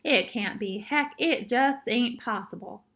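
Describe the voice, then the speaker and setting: A woman's voice: fairly high pitch, unhurried, fairly bright tone. A woman voicing a tiny, wary cowboy man from a children's storybook, with folksy, disbelieving phrasing. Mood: baffled. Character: tiny gruff wary cowboy man, toy brought alive.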